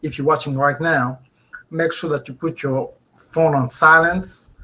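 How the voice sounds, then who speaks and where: A man in his thirties with a French accent, hosting a live webinar from a home office and talking into a webcam, earnest and animated, checking in with viewers. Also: fairly high pitch, unhurried. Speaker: host.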